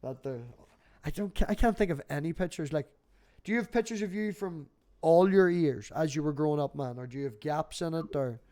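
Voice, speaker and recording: A man's voice: on the high side, fairly quick, mellow. A middle-aged man chatting casually on a livestream, talkative and sweary, telling anecdotes. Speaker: livestream host.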